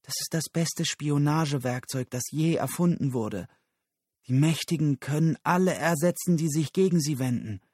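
A man's voice: on the high side, moderate pace, darkish tone, breathy edge, no echo, studio quality, voice pushed up. A man voicing a furious, combative woman with agitated, emphatic outbursts, in an audiobook story. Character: furious, combative woman activist, fiery and urgent.

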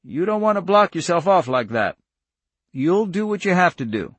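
A man's voice: high voice, big pitch swings, normal speed, no room sound, pitched above the performer's own voice. A man voicing a wounded older farmer in an audiobook story, plainspoken, stern and stubborn, with folksy country talk. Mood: stern, earnest. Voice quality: breathless.

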